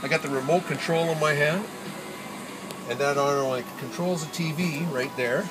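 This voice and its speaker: A man's voice: fairly high pitch, rough recording. An older man chatting casually and jokingly with a travel companion. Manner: jokey, amused. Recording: music playing behind.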